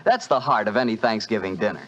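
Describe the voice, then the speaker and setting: A male announcer reading a smooth, genial wine commercial and hosting a 1940s radio show. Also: lowish voice, fast, a bit bright. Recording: dull 1940s radio broadcast.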